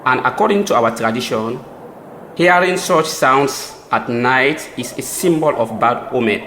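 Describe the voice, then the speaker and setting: A man's voice: mid-range voice, unhurried, fairly bright tone, close to an everyday voice. A Sierra Leonean man playing a devout, reassuring chief and husband in an audio drama, with formal phrasing. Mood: ominous, prayerful. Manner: formal, solemn wording. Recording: echoey room, faint background noise.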